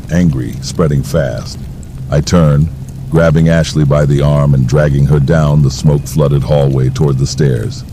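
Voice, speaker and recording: Audio drama villain, male actor: extremely deep, cold, commanding, with clear music behind. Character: Trey, menacing, ruthless armed male villain narrating his arson. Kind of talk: first-person audio drama narration with dialogue.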